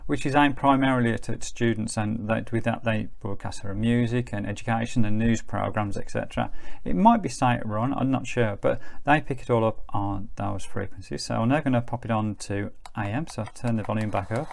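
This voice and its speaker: Low, creaky-voiced middle-aged man reviewing gadgets. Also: fairly quick, few pauses. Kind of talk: casual product review.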